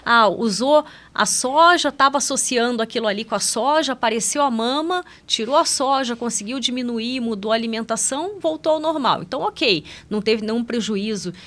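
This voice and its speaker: High-pitched Brazilian woman explaining. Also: animated, fairly quick, few pauses, a bit bright. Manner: explanatory, often seeks agreement with tag questions. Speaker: guest pediatric endocrinologist. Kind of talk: conversational podcast interview explanation.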